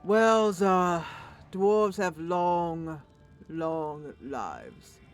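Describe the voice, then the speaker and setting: A gloomy old dwarf cleric, played by a woman, speaks with slow, heavy resignation. He is a fatalist from a fantasy roleplay, ponderous in every phrase, with soft music behind him. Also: on the low side, expressive. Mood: mournful.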